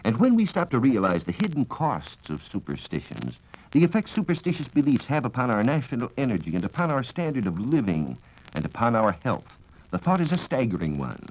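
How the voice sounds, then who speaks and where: A man with an American accent giving a formal, measured, scripted 1940s radio science talk on a dull vintage recording. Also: medium pitch, wildly swooping pitch, darkish tone. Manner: formal, measured lecturing with rhetorical questions. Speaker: science reporter and radio speaker. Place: radio studio.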